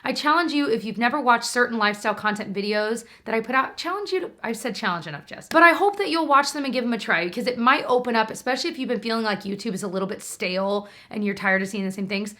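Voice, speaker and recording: A woman's voice: on the high side, fast, few pauses, pushed and bright. A young American woman chatting casually and informally to her viewers as a beauty and lifestyle YouTuber.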